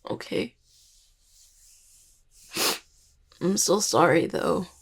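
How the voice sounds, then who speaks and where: A woman playing a grieving, tender young necromancer in an intimate audio roleplay, speaking haltingly and apologetically to a partner. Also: lowish voice, animated, creaky, breathy edge, heavily acted. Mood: tearful, apologetic.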